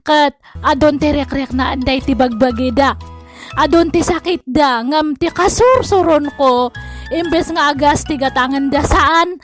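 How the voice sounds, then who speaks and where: Woman acting a worried ilocano daughter, high voice, bit of music under it, radio comedy play. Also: unhurried. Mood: plaintive. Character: dutiful, worried working daughter of elderly parents. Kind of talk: comedy audio drama with narration.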